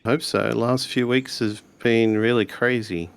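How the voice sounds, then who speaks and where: A man's voice: mid-range voice, steady tone, unhurried, vocal fry, clear, resonant tone, no room sound. A man roleplaying a laid-back, skeptical schoolboy in a 1980s sci-fi mystery tabletop game, talking in a dry, hesitant way. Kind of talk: improvised in-character tabletop roleplay.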